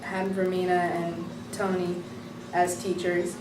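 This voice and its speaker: A woman's voice: on the low side, completely flat delivery, slow pace, background noise. Chatty young woman student, echoey. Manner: enthusiastic, chatty with many fillers. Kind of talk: interview, casual reflection. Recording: echoey room from far off, music behind.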